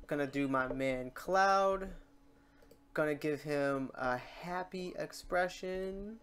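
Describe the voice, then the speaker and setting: A man hosting a casual software tutorial, informal and halting as he thinks aloud. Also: on the high side, smooth. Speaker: tutorial host. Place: indoors at a computer, keyboard typing behind.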